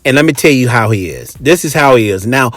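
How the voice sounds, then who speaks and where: A man's voice: mid-range voice, lively intonation, a little fast, a bit bright, dry recording. An American man hosting a podcast in a casual, chatty monologue, talking colloquially and emphatically and repeating phrases for effect. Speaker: podcast host.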